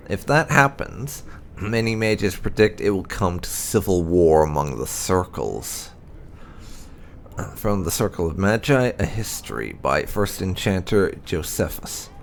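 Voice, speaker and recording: A man reading game lore aloud in a measured, formal narration style. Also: sits low, gravelly. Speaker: gaming channel host reading lore entries. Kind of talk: read-aloud narration of fantasy game codex.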